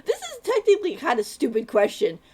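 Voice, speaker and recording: A woman's voice: high-pitched, wildly swooping pitch, fast talker, darkish tone. A woman streaming a game, chatting casually and rambling, laughing often as she talks. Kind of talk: casual live-stream chat.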